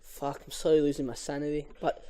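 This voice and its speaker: A man's voice: fairly high pitch, monotone, a little fast, warm tone, clean tone. A young man, an amateur reader working through a film script aloud and chatting casually, with a sweary, exasperated edge.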